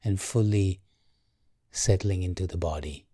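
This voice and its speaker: A man guiding a meditation in a calm, gentle, measured way, leaving long pauses. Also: sits low, dry recording, clean studio sound. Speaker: meditation guide. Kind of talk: guided meditation, slow and soothing.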